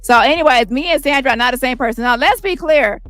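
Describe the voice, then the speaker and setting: A woman streamer ranting with heavy profanity, roasting critics with quick insults in a squeaky voice. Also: a bit bright, no room sound, faint music behind. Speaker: commentary streamer. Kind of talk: ranting commentary.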